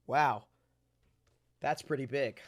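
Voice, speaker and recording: A storytime host reading a picture book aloud in an exaggerated storybook manner with deadpan asides. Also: medium pitch, slow pace.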